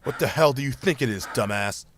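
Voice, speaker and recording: A man's voice: medium pitch, brisk, a little hiss or hum, natural voice. A man playing a grieving woman's mind as a blunt, exasperated, sweary inner voice in an audio drama, confronting her with pointed questions. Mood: angry, exasperated. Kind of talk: amateur audio drama acting.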